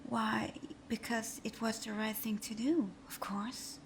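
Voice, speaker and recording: A woman's voice: fairly high pitch, fairly even intonation, a little slow, slight background noise. A woman playing a sly, flirtatious supervillain in a scripted ASMR roleplay, murmuring breathily with teasing hums. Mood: playful, smug. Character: flirtatious, sly female supervillain teasing her hero nemesis.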